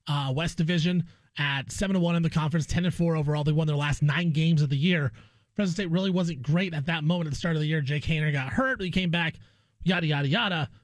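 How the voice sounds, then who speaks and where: A man's voice: fairly high pitch, no room sound. An American man hosting a sports talk show, giving his opinions in casual, conversational analysis.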